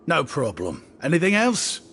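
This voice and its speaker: An actor playing a genial, easygoing shopkeeper in a video game, breezy, courteous and a little ingratiating. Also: male voice, a little high, huge pitch swings, normal speed, fairly bright tone, slight background noise, full character voice. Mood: affable. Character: genial, easygoing male shopkeeper, flattering and generous. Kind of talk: video game character voice acting.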